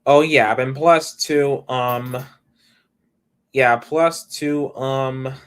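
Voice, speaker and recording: Young male streamer, mid pitch, smooth tone, loose rambling delivery. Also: steady tone, extremely slow, fairly bright tone. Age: young adult. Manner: rambling, restarts sentences often. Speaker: livestreamer. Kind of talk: casual livestream chat.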